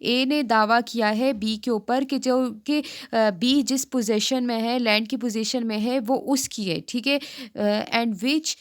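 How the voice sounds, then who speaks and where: Woman, Pakistani accent, law lecturer, higher-pitched voice, very slow pace, explanatory, halting and repetitive. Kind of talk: exam lecture, reading statutes aloud and explaining them.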